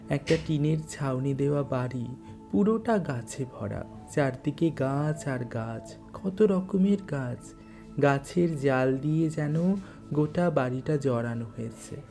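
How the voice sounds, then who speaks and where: A storyteller narrating a scripted audio tale in an unhurried, literary way. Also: mid-range voice, creaky, dark tone.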